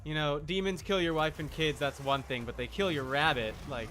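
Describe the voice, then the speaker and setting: Bright-voiced man streaming a game. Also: a little high, normal speed, slight background noise. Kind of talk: casual live gameplay commentary.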